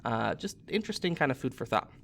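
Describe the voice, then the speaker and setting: A man's voice: medium pitch, big pitch swings, quick pace, gravelly, a bit bright. A man in his thirties, a teacher, narrating a storybook aloud expressively.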